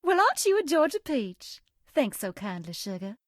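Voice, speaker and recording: Sweet, high-voiced Southern belle. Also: female voice, very animated, moderate pace, dry recording, studio quality. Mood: affectionate, flirty. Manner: coy, folksy, old-fashioned endearments. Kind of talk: dating game character voice acting.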